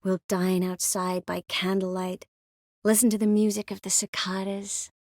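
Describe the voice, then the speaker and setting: An actress voicing a young sorceress in a video game, gentle, earnest and determined, with a measured, expressive delivery. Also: female voice, mid-range voice, no room sound, studio quality, natural voice. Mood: tender, hopeful. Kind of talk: video game character voice lines.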